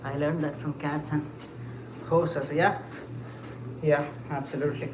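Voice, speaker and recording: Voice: medium pitch, little pitch movement, a little slow. A livestreamer chatting casually with viewers while walking, musing aloud with lots of fillers and short laughs. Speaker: livestreamer answering chat. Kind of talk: casual live chat. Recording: echoey space with clear ambient noise.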